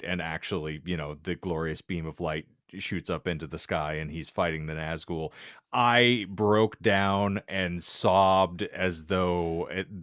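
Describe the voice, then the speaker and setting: A man's voice: sits low, fairly bright tone, no room sound, studio quality. A man co-hosting a podcast, chatting casually about books in wordy, florid phrasing. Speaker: podcast co-host. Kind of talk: casual book chat.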